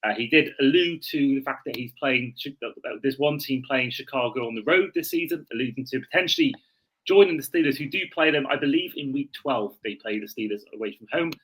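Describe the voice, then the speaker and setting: A man's voice: medium pitch, fairly quick, fairly bright tone, slightly rough, poor audio. A man hosting a sports podcast in casual chat, often correcting himself mid-sentence.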